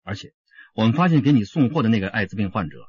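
Male detective for radio drama: assured, probing, lowish husky voice, emphatic. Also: expressive, rapid-fire, a little mellow, no echo, lo-fi sound. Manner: questioning, emphatic.

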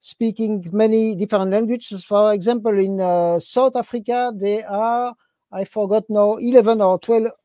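An older man talks hesitantly, pausing on fillers. His voice is mellow and dark. The sound is dry and close, from a video call in a book-lined room. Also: high voice, steady tone, dry recording. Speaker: co-moderator. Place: home room with bookshelves. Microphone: video call, earbuds.

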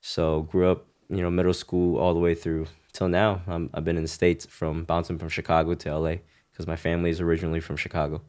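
Very deep-voiced man telling his story. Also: fast talker. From Hong Kong. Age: 30s. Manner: relaxed, loose phrasing with frequent "you know" and "right?". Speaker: podcast guest. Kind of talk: casual conversational storytelling.

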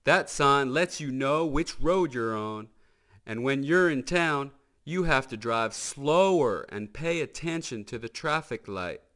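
A man's voice: medium pitch, expressive, a little slow, fairly bright tone, voice pushed up. A man voicing a friendly, folksy tow-truck deputy from a children's storybook, eager and earnest. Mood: earnest, instructive.

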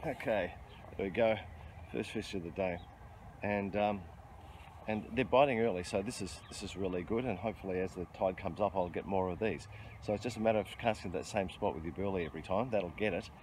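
A man's voice: low voice, a little fast, darkish tone. Middle-aged man fishing outdoors. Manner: chatty and instructive, with frequent ums and restarts. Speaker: fishing vlogger. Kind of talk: casual outdoor fishing commentary. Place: riverbank outdoors by a bridge. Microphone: handheld camera close-up. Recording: noisy outdoor riverside recording.

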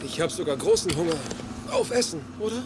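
A man's voice: a little high, lively intonation, a little fast, heavy background noise, natural voice. A man voicing a reluctant, decent young scientist in a German sitcom dub, sounding flustered. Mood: awkward, polite.

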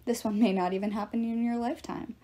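A woman plays a cocky young sun god in a storybook. He is breezy and cheerful, with a mellow tone. He speaks like a showman making grand announcements. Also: a little high, steady tone, quick pace, close to an everyday voice.

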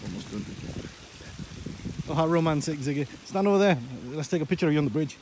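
A man's voice: a little high, expressive, fast talker. A man chatting casually and jokingly as he films himself out walking. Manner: joking, informal. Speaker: vlogger. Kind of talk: casual chat while filming a hike. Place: outdoors on a moorland reservoir trail. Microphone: handheld phone camera. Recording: noisy outdoor phone recording, wind and handling clicks.

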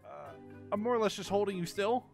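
A woman's voice: mid-range voice, quick pace, darkish tone, faint music behind, natural voice. A woman as a nervous, stammering unicorn pal. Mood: anxious. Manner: hesitant, stammering, pleading. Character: nervous, well-meaning best pal, unicorn character. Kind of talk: improvised game roleplay.

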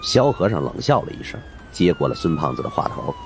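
A man's voice: very high-pitched, huge pitch swings, a little fast, noisy background. A man narrating an audiobook as a colloquial, conversational storyteller.

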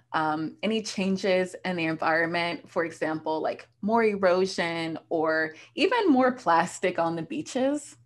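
A young woman hosting a podcast, asking curious, encouraging questions into a desk microphone at home. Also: fairly low pitch. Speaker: podcast host. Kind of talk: casual interview. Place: home room, indoors. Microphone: desk podcast mic.

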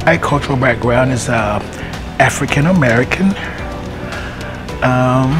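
A man's voice: medium pitch, unhurried, over music. A middle-aged man answering interview questions in a hesitant, thoughtful way. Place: indoor room at school.

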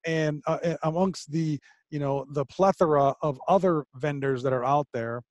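A man's voice: fairly high pitch, normal speed, a little mellow, no echo, highs cut off. A man in his forties hosting a video call interview on a headset mic, musing aloud with fillers and restarts. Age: 40s. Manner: thinks aloud with fillers and repeated starts. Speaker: podcast host. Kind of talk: conversational interview. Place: home studio with a flag behind, indoors.

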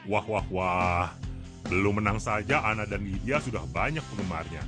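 A man's voice: on the low side, moderate pace, over music. A man delivering scripted teaser narration as the narrator of a radio drama.

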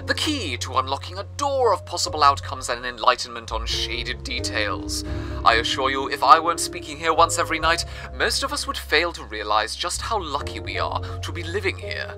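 A man hosting a radio show, telling a story in scripted, wordy, measured narration. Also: mid-range voice, sing-song. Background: music.

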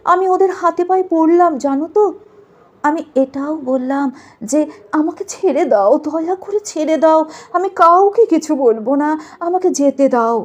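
A woman's voice: high voice, expressive, moderate pace. A woman reciting as the ghost of a murdered young woman doctor, speaking to her mother in an anguished, tearful, pleading way. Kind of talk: dramatic recitation.

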